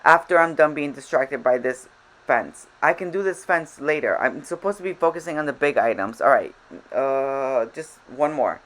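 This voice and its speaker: A woman's voice: extremely low pitch, animated, moderate pace, fairly bright tone. Chatty, rambling woman with Spanish first language. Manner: rambling, self-interrupting, chatty tangents. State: a little frazzled. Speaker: game vlogger. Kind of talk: casual voiceover over gameplay.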